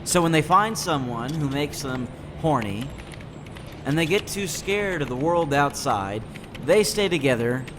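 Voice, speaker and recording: A man voicing a gloomy, cynical sixteen-year-old boy in a deliberate, disaffected monologue for a comic dub. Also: a little high, lively intonation. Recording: clearly noisy background.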